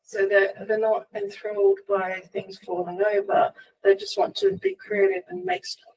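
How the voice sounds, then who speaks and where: A woman's voice: a little high, big pitch swings, moderate pace, creaky, a little mellow. A woman in an audience putting a casual question to a speaker. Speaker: audience member asking a question. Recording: distant pickup from the audience.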